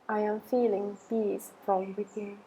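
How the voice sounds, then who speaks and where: Woman guiding meditation in an even tone. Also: mid-range voice, slight background noise, poor audio. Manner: slow, measured phrasing with long pauses. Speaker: meditation and yoga guide. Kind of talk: guided affirmation meditation.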